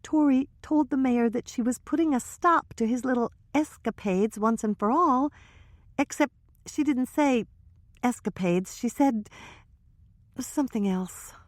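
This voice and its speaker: A woman's voice: fairly high pitch, measured pace, dry recording, voice pushed up, close to an everyday voice. A woman voicing a nervous, gossipy young woman who talks in a hesitant, breathless way.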